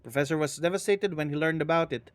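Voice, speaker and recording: A male streamer reads ghost stories aloud on a live stream, with dramatic pacing broken by casual asides. He mumbles and stumbles over words now and then. His voice is a little high for a man, and it comes through a close desk mic with a dry sound. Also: fast, dry recording.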